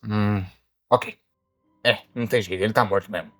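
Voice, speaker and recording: A man voicing a blunt, exasperated alligator detective, a ship's guard who questions people in a casual, muttering way, in an animated film. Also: fairly low pitch, moderate pace, natural voice. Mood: businesslike. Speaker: ship security guard turned detective. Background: faint music.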